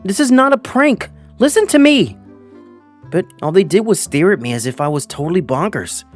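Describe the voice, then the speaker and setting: A man's voice: fairly high pitch, expressive, quick pace, a little mellow, soft background music. A man voicing an earnest twenty-five-year-old male teacher who narrates his own story and acts out his lines in a manga dub. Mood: alarmed, pleading. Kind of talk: first-person manga dub narration with acted dialogue.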